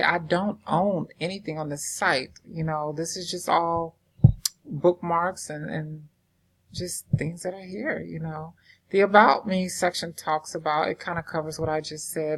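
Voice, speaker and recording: Low-voiced Southern woman, rambling casually. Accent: American Southern. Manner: rambling, informal, many fillers and restarts. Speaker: crypto investing vlogger. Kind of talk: casual screen-share walkthrough. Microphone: desktop microphone.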